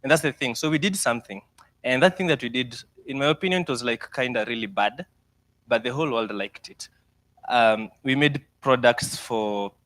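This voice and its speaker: A young Kenyan man, a startup founder, giving a lively, self-deprecating mentorship talk to students with slides. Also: medium pitch, no room sound. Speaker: startup founder guest speaker.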